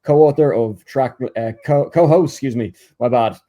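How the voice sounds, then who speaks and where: A man's voice: mid-range voice, normal speed, highs cut off. A man hosting a podcast interview, hesitant with many fillers and restarts, reading out a guest introduction. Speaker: podcast host.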